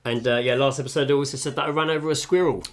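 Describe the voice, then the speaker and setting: A man's voice: mid-range voice, fairly even intonation, rapid-fire, a little hiss or hum. A man co-hosting a casual podcast from a kitchen, telling jokey stories and slipping into silly voices. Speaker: podcast co-host. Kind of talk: casual chat. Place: home kitchen.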